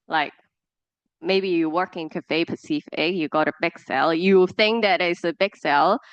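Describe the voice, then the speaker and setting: Hong Kong woman lecturing, low and smooth. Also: a bit bright, no echo, lo-fi sound. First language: Cantonese. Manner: explains with examples, frequent fillers and restarts. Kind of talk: classroom presentation.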